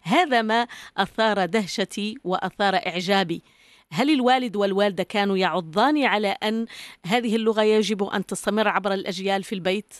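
A woman's voice: mid-range voice, racing, no echo, studio quality. A woman hosting an interview, asking fluent, admiring questions. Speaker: interview host. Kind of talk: interviewing a guest.

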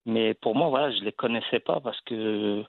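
A man's voice: fairly low pitch, normal speed, no room sound. A man from Belgium, an actor and guest, telling his story in a casual interview with many fillers and restarts. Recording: dry, phone-like line.

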